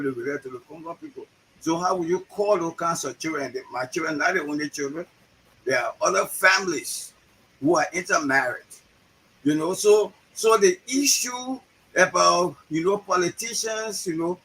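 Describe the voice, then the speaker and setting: A man in his sixties with a Liberian accent, a playwright telling stories in an interview, animated and often repeating words. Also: high-pitched, husky, low-quality recording. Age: 60s. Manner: animated, repeats words, acts out quoted voices. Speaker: guest, playwright. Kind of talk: storytelling interview talk.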